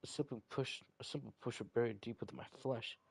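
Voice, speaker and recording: A man reading visual novel text aloud in an amateur, slightly halting way. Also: mid-range voice, steady tone, gravelly, noisy background. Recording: loud music over voice. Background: loud piano music from the game.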